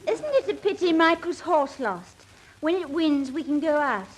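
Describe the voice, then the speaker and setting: A woman's voice: sits high, animated, moderate pace, poor audio. An actress playing a chattering, guileless young woman, gushing and airy in a radio comedy.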